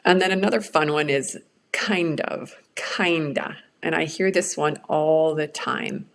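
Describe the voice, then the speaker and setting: A woman's voice: low voice, unhurried, gravelly. A middle-aged woman whose first language is Swedish, giving an earnest, reflective workshop talk on change management.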